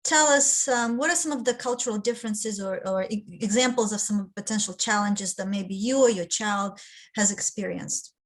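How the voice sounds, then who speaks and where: A woman's voice: mid-range voice, normal speed. A woman interviewing a guest, asking her questions tentatively and restarting them often.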